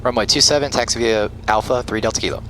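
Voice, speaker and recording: A man's voice: medium pitch, fairly even intonation, fast, a bit bright, a little hiss or hum, poor audio. A man giving step-by-step flight simulator commentary and radio calls, talking himself through checklists in a procedural way. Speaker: flight simulator pilot and host. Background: simulated aircraft engine and cockpit sound.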